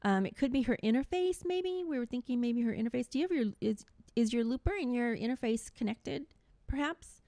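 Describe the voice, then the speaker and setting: A woman's voice: fairly high pitch, expressive, a little fast, mellow, no room sound. Effusive woman hosting, close dry sound. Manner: effusive, informal, addresses viewers directly. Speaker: talk show host. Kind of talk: casual live-stream interview chat.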